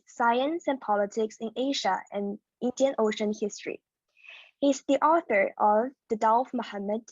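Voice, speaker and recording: A woman presenting a forum panel, reading out each panelist's bio in a steady, formal way. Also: a little high, dry recording. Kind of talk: reading speaker bios. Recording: dull lo-fi dry sound.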